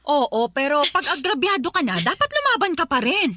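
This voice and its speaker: Feisty protective mother, blunt yet consoling, highish bright voice, radio drama. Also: very animated, moderate pace, no echo, heavily acted. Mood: indignant. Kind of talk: Filipino radio drama acting.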